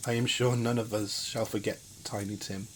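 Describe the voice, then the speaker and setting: Man playing gentle grieving father, lowish voice. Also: flat delivery, normal speed, slight background noise, natural voice. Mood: tender grief. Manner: tender, earnest. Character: kindly grieving working father, gentle and tender. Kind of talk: audio drama acting of a Victorian classic.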